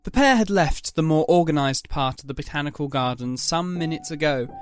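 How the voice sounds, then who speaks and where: A man's voice: fairly high pitch, lively intonation, fairly quick, clean tone. A man giving scripted dramatic narration of a story.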